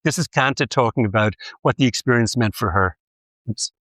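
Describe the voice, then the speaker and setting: An older man lecturing, speaking in a measured way with frequent pauses and hesitations. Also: medium pitch, a bit bright, no echo. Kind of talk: academic lecture.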